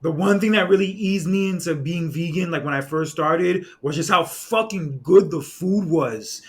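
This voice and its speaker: A man's voice: fairly high pitch, normal speed, breathy edge. A man hosting a casual podcast, laid-back and swearing as he chimes in on the conversation. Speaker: podcast host.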